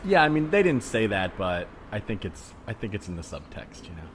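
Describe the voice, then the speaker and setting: A man playing an earnest, mild-mannered hospital worker out jogging in an improvised comedy scene, speaking casually in a halting, tentative way. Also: lowish voice, sing-song. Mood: earnest, proud. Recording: background noise of outdoor soundscape. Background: added outdoor ambience soundscape.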